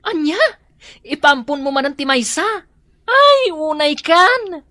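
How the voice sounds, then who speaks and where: Scandalized neighbor woman, Filipino accent, very animated pitch, drawn-out pace, scolding exclamations, radio drama. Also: dry recording, studio quality, full character voice. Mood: shocked, reproachful.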